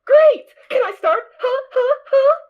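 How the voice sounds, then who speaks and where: A woman's voice: very high-pitched, lively intonation, slow, heavily acted. A woman doing a hyperactive little filly. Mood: excited. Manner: bubbly, childlike, bouncy. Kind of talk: fan audio drama reading. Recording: dull lo-fi recording.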